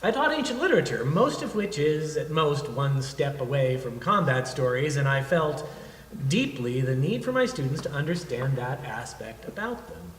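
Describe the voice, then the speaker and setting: A man, a veteran, reading his own scripted reflective story on stage with a measured, literary delivery. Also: fairly high pitch, big pitch swings, slight background noise. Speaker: navy veteran and teacher reading his memoir. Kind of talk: scripted personal narrative read on stage. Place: theatre stage at an arts centre. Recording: echoey hall, distant from mic.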